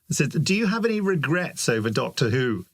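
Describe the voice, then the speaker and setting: A man's voice: fairly high pitch, dry recording. A British man interviewing conversationally, reading out questions sent in by fans.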